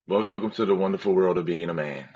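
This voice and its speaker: A man's voice: low voice, quick pace, breathy edge, no echo, phone-quality sound. A man hosting a podcast who talks emphatically and repeats phrases to drive his point home. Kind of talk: podcast conversation.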